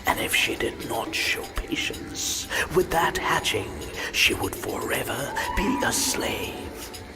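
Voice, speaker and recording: A man playing an ancient, serene god who narrates myths in a video game with a breathy, solemn, measured delivery. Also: on the high side, expressive. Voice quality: breathy, whispery. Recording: loud music under the voice.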